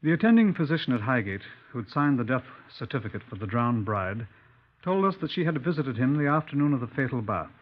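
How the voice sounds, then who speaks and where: A man playing a measured, persistent Scotland Yard inspector who narrates a 1950s radio crime drama. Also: mid-range voice, sing-song. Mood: measured narration. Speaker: narrator and lead actor. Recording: dull 1950s radio recording.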